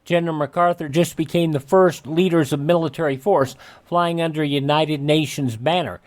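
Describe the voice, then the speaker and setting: A man's voice: fairly high pitch, steady tone, normal speed. A man hosting a radio show, reading a scripted rundown of historical dates.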